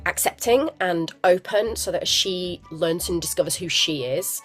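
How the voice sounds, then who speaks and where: An actress, a parent, talking in a chatty, enthusiastic way as an interview guest, husky from a cold. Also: female voice, fairly low pitch, normal speed, faint music behind. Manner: enthusiastic, chatty, laughs often. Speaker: musical theatre actress, guest.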